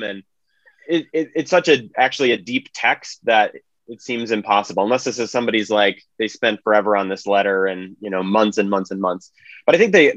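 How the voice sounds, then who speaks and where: Male podcast panelist, medium pitch, explanatory and conversational, close dry sound with slightly muffled tone. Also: no room sound. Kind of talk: informal analytical commentary.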